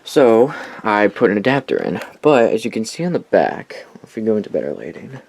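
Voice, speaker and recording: A man's voice: on the low side, wildly swooping pitch, brisk, creaky, a little mellow, husky. A young American man giving a casual, rambling show-and-tell as a hobbyist, with enthusiastic asides. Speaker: hobbyist collector presenting weather radios.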